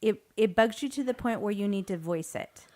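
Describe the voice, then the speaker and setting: A woman with a medium-pitched voice co-hosts a podcast. She speaks casually and conversationally, as in everyday chat at home. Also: normal speed, darkish tone. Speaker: podcast co-host.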